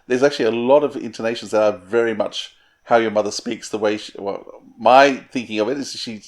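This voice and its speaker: A man's voice: on the low side, a little fast. A man speaking as an interviewer, in a conversational style with analytical, exploratory phrasing.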